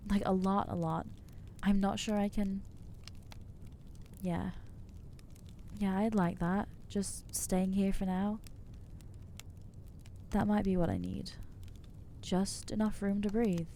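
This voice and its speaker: A woman playing an anxious, newly turned vampire in an ASMR roleplay, speaking in a hesitant, earnest, halting way with gentle inner conflict. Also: mid-range voice, fairly even intonation, a little hiss or hum. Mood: tentative hope. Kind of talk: ASMR roleplay acting, intimate monologue.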